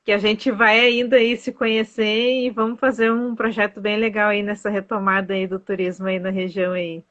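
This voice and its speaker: A woman's voice: medium pitch, level tone, normal speed, fairly bright tone. A woman from southern Brazil, hosting a casual live-stream chat as a tour guide, full of fillers. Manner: chatty, many fillers like "né" and "aí". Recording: dull lo-fi live-stream audio.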